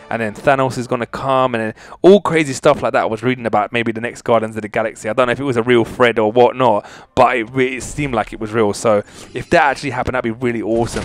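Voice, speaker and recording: A young man giving excited, rambling commentary over a mobile fighting game as the channel host. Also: mid-range voice. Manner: excitable, rambling, loud exclamations.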